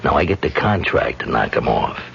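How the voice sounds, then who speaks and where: An actor playing a blunt, menacing male gangster in a 1970s radio drama, talking in a slow, threatening street manner. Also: rumbling low, animated, creaky, fairly bright tone, husky, full character voice. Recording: dull vintage radio broadcast.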